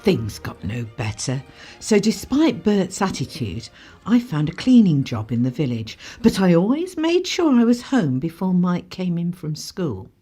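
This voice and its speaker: Actress as working-class mother, low mellow voice, reflective storytelling, radio drama, faint music behind. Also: big pitch swings, unhurried, hardly any pauses, breathy edge, exaggerated voice. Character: stoic working-class mother recalling hard times. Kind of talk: first-person radio drama narration.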